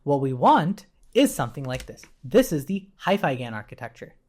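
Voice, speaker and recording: A man's voice: on the high side, sing-song, moderate pace, darkish tone. A man giving an informal technical tutorial in a conversational way, often tagging statements with a questioning check. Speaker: instructor.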